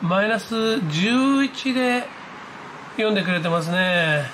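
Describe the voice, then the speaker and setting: A man giving casual, excited live commentary as a hobby vlogger, reacting aloud in exclamations as he goes. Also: high voice, takes it slowly, a little hiss or hum. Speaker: amateur radio operator hosting his own video. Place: indoors at a desk, filming a computer screen.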